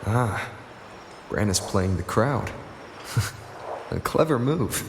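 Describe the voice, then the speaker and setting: Echoey, low-voiced male adventurer. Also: animated, slow, vocal fry, background noise, full character voice. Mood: quiet musing. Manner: understated, musing inner monologue. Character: calm, observant male adventurer thinking to himself. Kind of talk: anime game voice acting. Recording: echoing voice over loud music.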